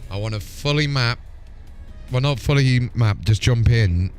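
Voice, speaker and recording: A man's voice: medium pitch, unhurried, soft background music. A man chatting casually and informally as a live game streamer, in a matey way with the odd mild swear. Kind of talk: casual gaming livestream chat.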